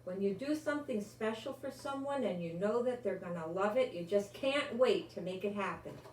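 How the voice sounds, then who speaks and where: An older woman, a grandmother, reads a children's story aloud with deliberate, expressive delivery and adds her own asides. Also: medium pitch. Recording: roomy, distant microphone, faint background noise.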